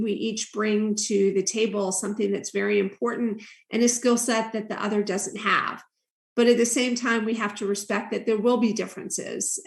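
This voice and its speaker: A woman delivers prepared panel remarks in a measured, speechlike manner. Her voice has a gravelly, creaky edge and rings in a roomy, echoing space. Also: medium pitch. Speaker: nonprofit CEO, panel guest. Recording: roomy echo.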